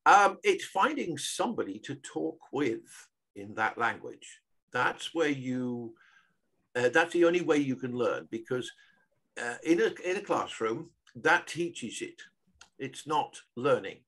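A man's voice: medium pitch, expressive, a little slow, fairly bright tone. An older Englishman speaking earnestly and encouragingly as a guest on a video call. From England. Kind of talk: motivational conversation on a video call.

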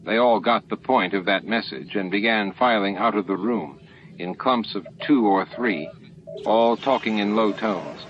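A man's voice: fairly low pitch, measured pace, a bit bright, lo-fi sound, a little hiss or hum. A man reading scripted narration from a science fiction novel as an audiobook narrator. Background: music and added sound effects.

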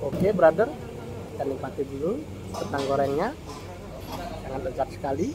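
A man's voice: medium pitch, lively intonation, moderate pace. A middle-aged man filming a casual food review vlog at a cafe table, talking to viewers in a friendly, chatty way and calling them "brother". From Indonesia. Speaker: food vlogger. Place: open-air cafe, evening. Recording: noisy cafe phone recording.

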